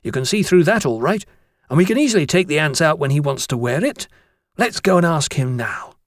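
An eager schoolboy with a high, bouncing voice, as a male reader puts him on, brimming with ideas. Bright, dry close-up sound. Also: lively intonation, brisk, no room sound, natural voice. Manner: eager, enthusiastic. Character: excitable schoolboy full of plans.

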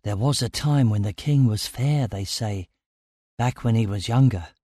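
A man voicing a fearful, secretive man in a story, giving halting warnings in a hushed, breathy voice. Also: medium pitch, dry recording, clean studio sound, close to an everyday voice. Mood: grave. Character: frightened, secretive male castle dweller warning a stranger.

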